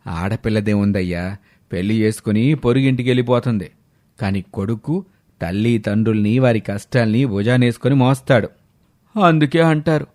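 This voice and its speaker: A man's voice: mid-range voice, darkish tone, close to an everyday voice. A man voicing a weary old village farmer in a moral story, sighing and folksy as he talks. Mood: sagely opinionated. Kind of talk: dramatised moral story acting.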